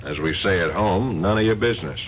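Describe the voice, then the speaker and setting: Dry blunt agent, super deep voice, old radio. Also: male voice, a little fast, slightly rough, lo-fi sound. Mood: curt. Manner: dry, curt. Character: blunt American agent abroad.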